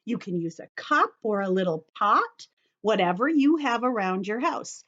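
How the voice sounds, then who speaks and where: A woman's voice: medium pitch, sing-song, moderate pace, fairly bright tone, muffled recording. A woman teacher demonstrating a craft step by step for young children, speaking simply and instructively and addressing them directly. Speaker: children's story-time teacher showing a craft.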